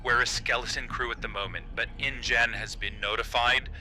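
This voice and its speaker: An actor playing a cautious, defensive park operations manager on a radio call in a horror drama, measured and officious. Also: male voice, mid-range voice, steady tone, bright, forceful tone. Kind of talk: found-footage horror audio drama. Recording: noisy radio transmission.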